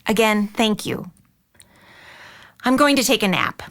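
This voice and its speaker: Female actor as weary middle-aged mom: medium-pitched, gentle, doting. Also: lively intonation, unhurried. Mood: weary, affectionate. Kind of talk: audio drama dialogue.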